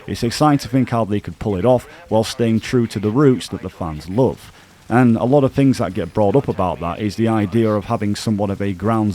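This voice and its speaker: A man with a somewhat low-pitched voice presents a scripted video essay as a gaming YouTuber. He explains his points earnestly and in a measured way, in the style of online commentary narration. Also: dark tone.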